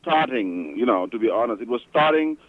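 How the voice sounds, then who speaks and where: A man's voice: mid-range voice, lively intonation, fairly quick, no echo. A man from Senegal, a Wolof speaker, calling into a radio show and searching for words as he asks his question. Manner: hesitant, searching for words, laughs easily. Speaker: caller. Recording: tinny phone line.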